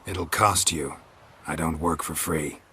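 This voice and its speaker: An actor playing a gruff, weary monster hunter in a video game, with a raspy voice and terse, deadpan delivery. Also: male voice, rumbling low, unhurried, slight background noise, heavily acted. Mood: curt, businesslike. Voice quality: raspy, gravelly. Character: gruff, weary, dry-witted male monster hunter for hire.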